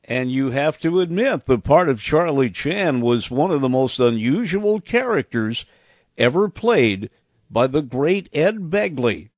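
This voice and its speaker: A male program host speaks in a slightly high voice. His scripted delivery is very animated, moving freely up and down. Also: measured pace, no room sound. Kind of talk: scripted hosting.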